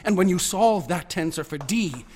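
An actor playing an obsessive young mathematics student in a radio drama, eagerly lecturing and insistent. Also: male voice, high-pitched, animated, moderate pace. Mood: insistent, excited. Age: young adult.

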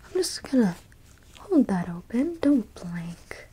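A woman playing a haughty, curious alien examiner in a whispered ASMR roleplay, musing slowly and clinically with blunt asides. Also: fairly high pitch, sing-song. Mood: clinically intrigued. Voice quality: soft, breathy whisper. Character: curious, easily disgusted, haughty alien abductor examining a human.

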